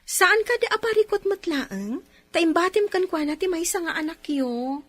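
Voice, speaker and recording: A woman with an Ilocano accent playing a chatty, sassy household helper in a radio drama, expressive and full of interjections. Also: very high voice, moderate pace, no echo. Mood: curious, cautioning.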